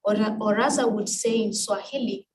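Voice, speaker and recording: Noisy distant recording, woman, Kenyan accent, Luo first language, formal speech, measured and emphatic delivery. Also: mid-range voice. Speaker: guest speaker at an international women's event. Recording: distant mic with clear background noise.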